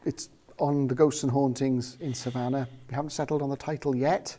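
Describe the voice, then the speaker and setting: Mellow-voiced man explaining calmly. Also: mid-range voice. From South Wales. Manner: measured, explanatory, with frequent ums. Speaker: parapsychologist guest. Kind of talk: casual interview chat.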